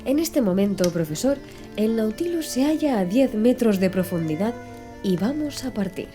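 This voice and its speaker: A woman voicing a calm, assured male submarine captain in an audiobook. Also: fairly high pitch, normal speed, faint music behind, natural voice. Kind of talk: audiobook character dialogue.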